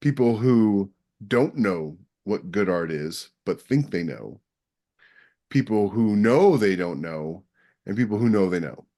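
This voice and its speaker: A man with a fairly low voice co-hosts a podcast. He explains things with confident emphasis, stating his points plainly and firmly. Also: animated, unhurried, dark tone. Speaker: podcast co-host. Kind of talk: casual podcast chat.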